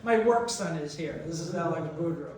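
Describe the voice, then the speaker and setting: A middle-aged man preaching a reflective sermon, deliberate in delivery, with long pauses and rhetorical questions. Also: on the high side, animated, darkish tone. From New Brunswick, Canada. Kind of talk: reflective sermon with personal anecdotes. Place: large reverberant church interior. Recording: echoey church, picked up from a distance.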